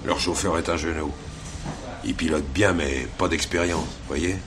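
Sly slangy crook informant, deep voice, wheedling, radio play, noisy old recording. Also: male voice, a little slow. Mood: sly, bargaining. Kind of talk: French radio drama acting.

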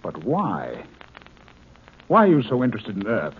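A man's voice: lowish voice, wildly swooping pitch, unhurried. An actor playing a male scientist held captive in a 1950s radio drama, firing off urgent, incredulous questions. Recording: dull old broadcast recording, faint hiss.

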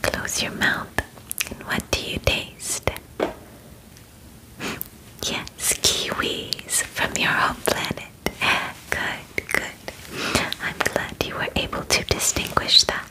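A woman in her twenties doing a softly whispered ASMR roleplay as a calm, methodical alien examiner, soothing and attentive, close to the microphone. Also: poor audio. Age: 20s. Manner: soft, unhurried, soothing, close personal attention. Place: small indoor bedroom studio.

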